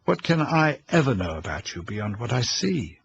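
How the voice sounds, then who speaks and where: A man's voice: medium pitch, big pitch swings, normal speed, vocal fry, muffled recording, slight background noise, pitched above the performer's own voice. A male reader voicing a vain, lazy young man who answers in an offhand, teasing way.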